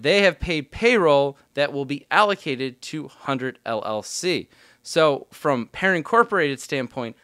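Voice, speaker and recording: A man's voice: on the high side, a bit bright, dry recording. Man teaching step by step, dry close sound. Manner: informal, step-by-step explaining. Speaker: accounting instructor. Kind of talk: explanatory tutorial, talking through worked examples.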